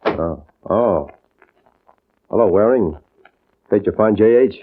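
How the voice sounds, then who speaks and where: An actor playing an evasive, blustering male killer in a 1950s radio crime drama, with a rough voice. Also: lowish voice, slow pace, heavy background noise. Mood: uneasy. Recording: tinny, narrowband old radio recording.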